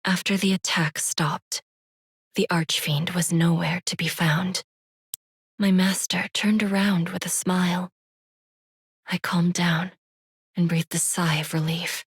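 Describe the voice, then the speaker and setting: Earnest, slow-spoken young pupil. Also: female voice, fairly low pitch, fairly even intonation, breathy edge, no echo, heavily acted. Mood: sorrowful. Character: earnest, devoted young female pupil, wistful. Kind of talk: dramatic first-person game narration. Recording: loud music behind.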